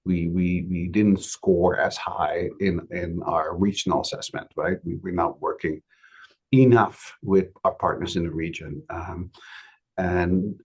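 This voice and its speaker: A man with a cold that leaves his voice raspy, speaking hesitantly with many fillers and restarts on a webinar about his community's experience. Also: sits low, fairly even intonation, measured pace, darkish tone. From Canada. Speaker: municipal economic development practitioner.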